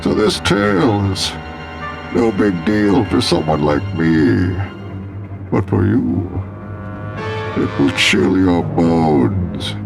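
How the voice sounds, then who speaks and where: A man's voice: deep voice, expressive, creaky, over music, heavily acted. A man voicing a menacing, grandiloquent villain who tells horror tales in a theatrical radio style. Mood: grand menace. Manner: grandiloquent, dramatic, drawn-out delivery. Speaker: storyteller.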